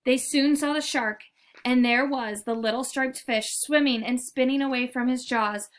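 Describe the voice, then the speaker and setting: A woman narrating a children's picture book in an expressive, deliberate storytelling manner. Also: sits high. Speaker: read-aloud storyteller.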